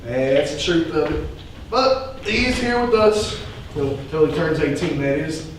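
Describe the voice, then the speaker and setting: An actor playing a harried, stubborn, blunt-spoken pig farmer husband in a live stage play, folksy and exasperated. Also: male voice, on the high side, lively intonation, a little slow. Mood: gruff, easygoing. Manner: folksy, exasperated, projected to the house. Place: indoor theater stage, recorded from afar. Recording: very echoey theater, distant mic, noisy.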